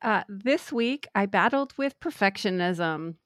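A woman's voice: medium pitch, lively intonation, measured pace, a bit bright, no room sound, studio quality. A woman telling a rambling, self-deprecating story in a casual podcast chat. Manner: chatty, self-deprecating, many fillers. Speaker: podcast co-host, screenwriter.

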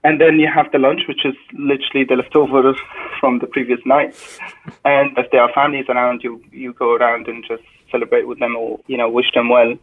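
A man's voice: medium pitch, little pitch movement, a little fast. Man on a tinny phone line. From Syria. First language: Arabic. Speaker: podcast guest. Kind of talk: casual interview answers.

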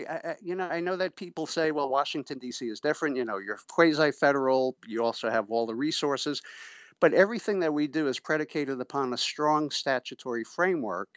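A middle-aged man giving measured, formal and emphatic explanations as an expert guest in an interview. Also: mid-range voice, no room sound, lo-fi sound. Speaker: interviewee, pretrial services official and former prosecutor. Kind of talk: explanatory radio interview answers.

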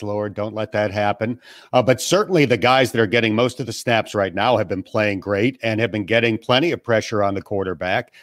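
A middle-aged man from western New York, a sports broadcaster talking calmly and knowledgeably as a guest over a video call from home. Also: on the low side, dry recording, studio quality. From western New York, USA. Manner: measured, knowledgeable, plain-spoken. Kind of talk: casual podcast interview. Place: family room at home.

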